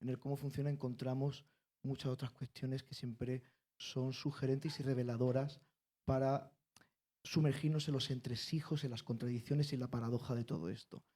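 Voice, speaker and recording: Mellow-voiced man lecturing on flamenco. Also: mid-range voice, level tone, moderate pace. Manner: intellectual, emphatic repetitions, builds arguments. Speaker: panel speaker, flamenco researcher. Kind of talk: public lecture-style talk.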